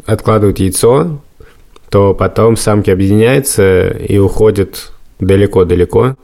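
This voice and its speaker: A man's voice: fairly low pitch, moderate pace, gravelly, mellow. A man hosting a podcast, talking casually and explaining things at length in a reflective way. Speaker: podcast host.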